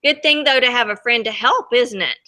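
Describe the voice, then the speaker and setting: A woman teacher reading a picture book aloud to young children in an expressive, chatty way, often asking them questions. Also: on the high side, a little fast. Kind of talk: expressive read-aloud storytime.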